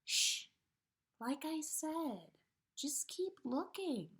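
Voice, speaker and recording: A woman acts out a dreamy teenage girl in a read-aloud story. She is wistful yet sure of herself, speaking high and at an unhurried pace. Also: very animated, fairly bright tone, exaggerated voice. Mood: insistent. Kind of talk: character dialogue in a story reading.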